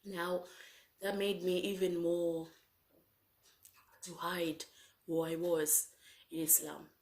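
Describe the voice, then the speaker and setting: A woman from Zanzibar giving a personal testimony about her faith, talking haltingly with many fillers and restarts, often clearing her throat and coughing. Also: on the low side, level tone, a little mellow. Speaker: speaker sharing her faith story.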